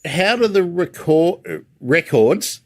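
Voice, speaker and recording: A man's voice: on the high side, expressive, slow pace. Inquisitive Australian man hosting a chat. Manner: inquisitive, rambling, self-deprecating. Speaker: livestream host and interviewer. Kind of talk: casual interview chat.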